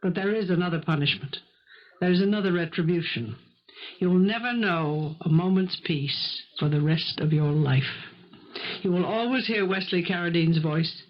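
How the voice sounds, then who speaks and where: An actress playing a poised, righteous woman in a radio mystery, measured and articulate, probing and moralizing. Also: on the low side, lightly acted. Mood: stern, accusing. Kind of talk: 1970s radio mystery acting. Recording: dull, band-limited 1970s radio recording.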